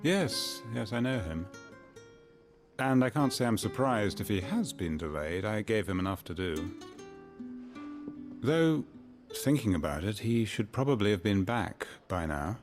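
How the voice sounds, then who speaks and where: A suave, genteel merchant in a fantasy video game. His voice is lowish and swoops expressively, measured and politely condescending, over soft guitar music. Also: lively intonation. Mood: smooth, courteous. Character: suave, genteel male merchant with guarded courtesy. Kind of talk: dramatic video game acting. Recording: faint guitar music behind.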